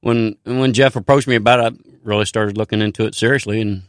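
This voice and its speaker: A male comedian guest talks in an easygoing, self-deprecating way on a studio radio show. His voice sits on the low side, and the studio recording is dry and close. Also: steady tone, fast, dry recording. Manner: self-deprecating, laid-back. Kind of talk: casual radio interview. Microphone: studio mic.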